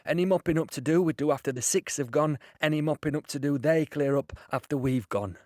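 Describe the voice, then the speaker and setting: An actor playing a young British soldier, earnest and shaken, recalling the war haltingly in an audio drama. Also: male voice, fairly high pitch, a little mellow, no room sound, clean studio sound, natural voice. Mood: urgent. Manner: halting, plain-spoken, reflective. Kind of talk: audio drama monologue, conversational recollection.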